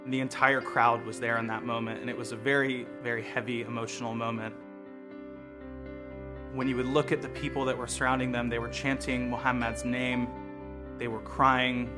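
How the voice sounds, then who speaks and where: An American man speaks in a measured, earnest documentary interview, reflecting calmly. His voice sits at a middle pitch. Guitar music plays clearly beneath him. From United States. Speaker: lawyer and street photographer. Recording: music bed playing behind voice.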